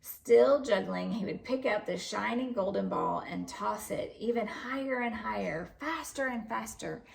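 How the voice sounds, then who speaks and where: A woman reading a children's story aloud in an expressive narrating style. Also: a little high, a little slow, gravelly. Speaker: storybook reader.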